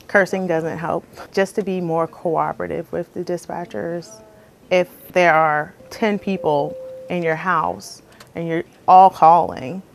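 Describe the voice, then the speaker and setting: A woman's voice: on the low side, vocal fry, a little hiss or hum. A woman working as an emergency dispatcher, explaining her job in a plain-spoken, earnest way as if interviewed.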